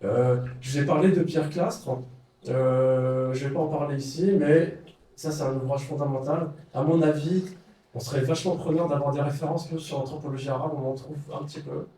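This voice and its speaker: A man's voice: a little high, steady tone, moderate pace, warm tone. A man giving an informal talk, hesitant, with many fillers and restarts. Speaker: speaker leading a study circle. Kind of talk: informal lecture with questions. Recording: echoey room, far from the mic.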